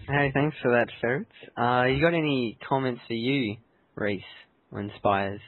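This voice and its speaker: A man's voice: medium pitch, normal speed. A man hosting a casual gaming discussion. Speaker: host. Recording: narrow lo-fi voice chat.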